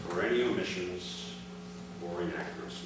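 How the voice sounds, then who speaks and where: A middle-aged man reading a prepared formal speech aloud to a gathering, with deliberate phrasing and emphatic sentence openings. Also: low-pitched, recorded from a distance. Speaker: speaker reading his father's prepared account. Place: large indoor room, addressing a gathering. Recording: echoey, noisy hall recording from afar.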